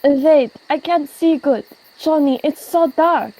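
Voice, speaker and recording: A nervous doctor sidekick played by a teenage girl in an amateur radio play. The voice is high and unhurried, hesitant and pleading as it tries to soothe a dangerous boss. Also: warm tone, rough recording. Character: nervous, timid doctor, henchman to a villain.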